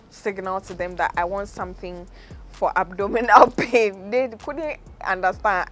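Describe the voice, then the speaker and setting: A young woman with a Ghanaian accent, hosting a podcast and telling a personal story in a relaxed way, laughing often as she goes. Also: fairly low pitch, slight background noise. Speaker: podcast host. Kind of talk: casual storytelling.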